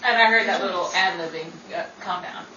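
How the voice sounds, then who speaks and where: A woman who hosts and performs in a radio-style show, animated as she announces and chats with castmates. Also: on the low side, brisk, lo-fi sound. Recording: noisy echoey live room, far from mic.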